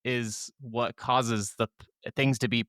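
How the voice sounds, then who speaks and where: A man's voice: mid-range voice, fairly even intonation, slow, a bit bright, dry recording, clean studio sound. A man co-hosting a podcast, talking casually and informally about games. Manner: conversational, informal. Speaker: podcast co-host. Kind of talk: casual chat, game review.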